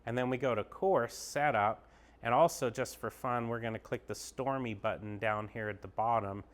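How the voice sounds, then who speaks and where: A man's voice: mid-range voice, moderate pace. A man giving a casual, upbeat walkthrough of an indoor golf simulator bay, explaining each screen as he clicks through it. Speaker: golf pro giving a tour.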